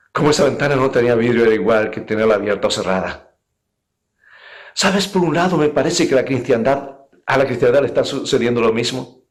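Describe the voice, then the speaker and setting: Guy pastor preaching, medium pitch, earnest and emphatic, talks right to the listener. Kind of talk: sermon with illustrative anecdotes.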